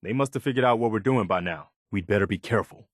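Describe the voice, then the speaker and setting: A man playing an earnest, weary and idealistic militia officer in a post-apocalyptic video game, speaking in a measured way. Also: on the low side, dry recording, clean studio sound, natural voice. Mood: alert, focused. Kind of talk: video game character dialogue.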